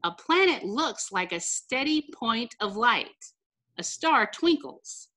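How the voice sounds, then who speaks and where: A middle-aged American woman, a teacher reading a picture book aloud to children with careful, deliberate enunciation over a video call. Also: fairly high pitch, big pitch swings, pushed and bright. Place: small room indoors, on a video call.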